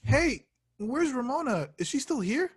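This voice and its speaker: A man's voice: very high-pitched, very animated, a little slow, slightly rough, exaggerated voice. A man playing an awkward, lovesick young slacker in a table read, plaintive and hesitant. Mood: disappointed. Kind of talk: table read of a comedy film script.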